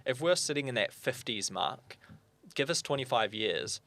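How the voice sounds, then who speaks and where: A young New man talks as a podcast guest. He makes his case in an earnest, fluent way, tossing in quick asides, and his voice sits at an ordinary middle pitch. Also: animated, a bit bright. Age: young adult. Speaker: guest, firearm owners' spokesperson. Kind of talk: casual interview chat.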